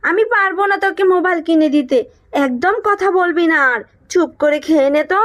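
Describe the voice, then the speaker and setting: A woman's voice: very high voice, a little fast, a bit bright. A woman voicing a worried, chiding mother from a poor family in a fairy-tale cartoon. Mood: scolding. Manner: fretful, chiding. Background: music.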